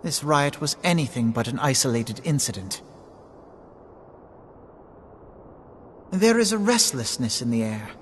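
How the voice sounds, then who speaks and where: An actor playing a composed, erudite young male political advisor in a video game, speaking formal, measured English. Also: medium pitch, lively intonation, a little hiss or hum, close to an everyday voice. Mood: grave, explanatory. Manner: articulate, formal, explanatory, measured. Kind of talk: scripted video game cutscene dialogue.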